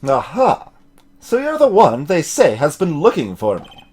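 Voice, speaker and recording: A man voicing a pompous, blustery older male lawyer from a video game, clearing his throat and leaning grandly on his words. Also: fairly high pitch, huge pitch swings, measured pace, a little mellow, full character voice. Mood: pompous, demanding.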